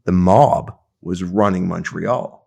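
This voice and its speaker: A man hosting a podcast in casual conversation, talking in halting, rambling restarts. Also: low-pitched, vocal fry, mellow. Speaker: podcast host.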